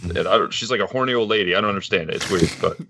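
Casual male talker, bright and forceful, slightly low voice, slangy storytelling, lo-fi audio. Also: racing, gravelly, slight background noise. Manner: slangy, animated storytelling with lots of "dude" and "man". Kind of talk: casual banter.

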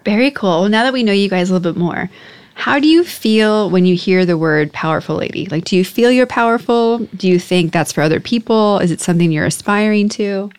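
A woman's voice: medium pitch, brisk, clear, resonant tone, no room sound. An American woman hosting a conversational interview in an easy back-and-forth style. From born in New Jersey, United States. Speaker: podcast host.

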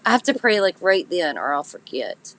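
Raspy, congested woman chatting. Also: on the low side, big pitch swings, moderate pace, a bit bright, low-quality recording. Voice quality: raspy, gritty. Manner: chatty, laughs at herself, talks straight to listeners. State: congested, nasal. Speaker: podcast host. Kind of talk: casual live chat with listeners.

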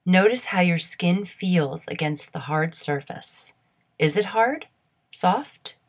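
A woman leading a guided meditation in a soothing, measured, instructional way. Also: lowish voice, lively intonation.

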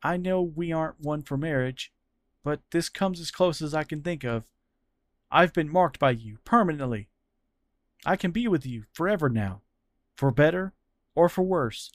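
A man's voice: on the high side, moderate pace, no echo, voice pushed up, close to an everyday voice. A man voicing a tender young man who earnestly confesses his love, speaking in a raised, emotional voice.

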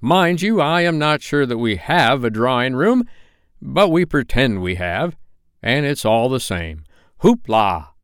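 A man's voice: medium pitch, sing-song, measured pace, clean tone, no room sound, studio quality, voice pushed up. A man voicing a touchy, pompous yet playful father, theatrical and emphatic, in a storybook reading. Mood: gleeful.

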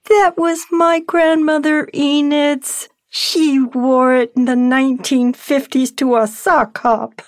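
An actress playing a polite, wistful elderly widow in an intimate audio drama, with a gentle, hesitant delivery. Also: female voice, high voice, flat delivery, takes it slowly, hardly any pauses, no echo, clean studio sound. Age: older.

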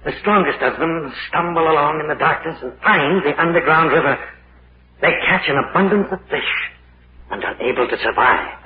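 An actor playing a grave, methodical professor in an old-time radio horror drama, explaining things with deliberate authority. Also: male voice, on the high side, expressive, close to an everyday voice. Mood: grave, explanatory. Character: grave, methodical male professor leading a cave expedition. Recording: muffled, echoey old radio recording, faint noise.

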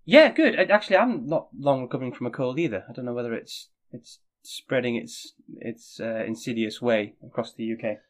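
A man co-hosting a casual podcast chat, friendly and a little halting, often restarting his sentences. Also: mid-range voice. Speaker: podcast co-host.

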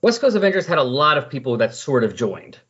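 A man with a husky voice chatting casually on a podcast, explaining and recapping in a rambling way. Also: medium pitch, very animated, quick pace, a bit bright. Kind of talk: casual comic book discussion. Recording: dull lo-fi voice recording.

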